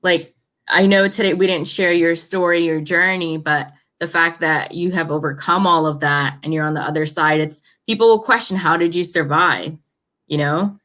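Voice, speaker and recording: Brief: low-pitched woman host, encouraging and affirming. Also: fairly even intonation, normal speed, a bit bright. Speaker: show host. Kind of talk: casual interview chat.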